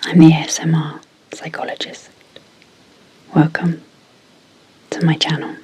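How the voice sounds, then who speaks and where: A woman speaking in a soft, breathy whisper as an ASMR sleep hypnosis guide, deliberately and in short phrases with pauses. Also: deep voice, completely flat delivery, low-quality recording. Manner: slow, deliberate, pausing between short phrases.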